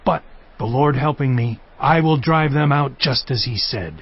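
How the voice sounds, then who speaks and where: An actor playing a vigorous, devout eighty-five-year-old Israelite warrior in a dramatized audio Bible, declaiming with passion and resolve. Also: male voice, medium pitch, unhurried, slightly rough, lo-fi sound. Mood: proud, determined. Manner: impassioned, declamatory.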